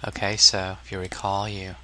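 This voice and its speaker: A man narrating a casual software tutorial as an instructor, explaining step by step in an informal, somewhat hesitant way. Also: low-pitched, monotone, normal speed.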